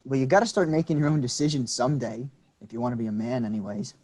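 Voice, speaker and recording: An actor reading a quick-tempered young man, combative and swearing in a staged play reading. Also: medium pitch, darkish tone, close to an everyday voice.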